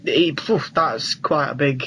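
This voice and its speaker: A man's voice: on the high side, measured pace, pushed and bright, a little hiss or hum, low-quality recording. A man, co-hosting a podcast in casual, slangy film chat. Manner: informal, uses slang. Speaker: podcast co-host.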